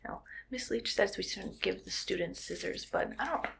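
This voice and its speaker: A woman playing a quirky, friendly art teacher in a soft, whispered ASMR roleplay, speaking in a gentle, unhurried murmur. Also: medium pitch, creaky, slight background noise. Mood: playful, musing. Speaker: ASMR performer.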